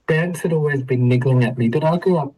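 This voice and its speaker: An Australian man from Melbourne, a radio interview guest telling his life story in a relaxed way, with many ums and easy laughs. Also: on the high side, fairly quick, low-quality recording. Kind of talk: conversational storytelling.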